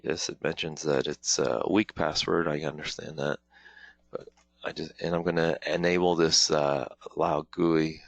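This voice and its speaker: Male webinar trainer, very deep voice, dry sound, informal and halting step-by-step tutorial delivery. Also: little pitch movement, gravelly, dry recording. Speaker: webinar presenter, product trainer. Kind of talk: informal software tutorial, live demonstration walkthrough.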